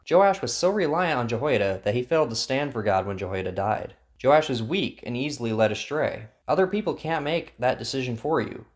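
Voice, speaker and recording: A man narrating a scripted Bible story in a storytelling style. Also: medium pitch. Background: plucked guitar music.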